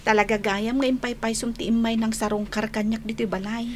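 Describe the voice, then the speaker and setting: Woman playing a high-voiced, coy Filipina student. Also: flat delivery, brisk, a little hiss or hum, close to an everyday voice. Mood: shy, surprised. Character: sweet, shy young female student, secretly lovestruck. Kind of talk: radio drama acting.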